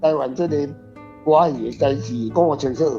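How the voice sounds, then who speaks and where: A man's voice: on the high side, fairly quick, warm tone. An older man with a Taiwanese accent in a casual literary discussion, emphatic and opinionated, often repeating his points. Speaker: author and discussion guest. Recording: dull lo-fi, music behind.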